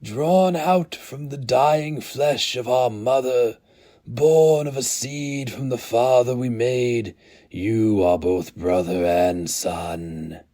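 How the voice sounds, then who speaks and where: Man doing a high strained alien chanter, ancient, fanatical, slow incantation, otherworldly timbre, raised intensity. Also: mellow, natural voice. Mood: chanting, fervent. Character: ancient alien male flesh-engineers, weary and fanatical. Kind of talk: dramatic audiobook reading.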